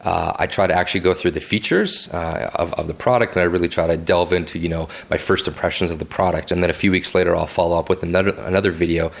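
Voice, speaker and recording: A man with a deep voice answering interview questions casually at home, with lots of fillers and restarts. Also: fast talker, gravelly, hardly any pauses. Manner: relaxed, chatty, frequent fillers and restarts. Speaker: tech video maker interviewed as a guest. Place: home basement office.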